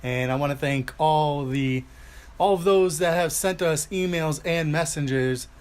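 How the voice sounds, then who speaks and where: A man's voice: a little high, a little slow, darkish tone, clear, resonant tone. A man hosting a casual talk podcast, upbeat and teasing as he reads announcements and needles his co-host. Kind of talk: casual chat.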